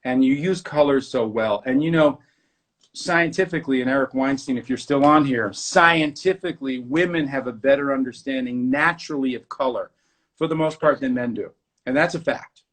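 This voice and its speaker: A man's voice: mid-range voice, moderate pace, fairly bright tone. A man hosting an interview, talking enthusiastically and heaping praise on his guest. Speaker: host. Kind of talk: casual interview.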